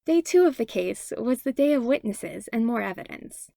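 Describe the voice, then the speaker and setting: A woman's voice: on the high side, lively intonation, moderate pace, gravelly, warm tone, dry recording, studio quality. A woman reading a story aloud as scripted narration. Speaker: podfic reader.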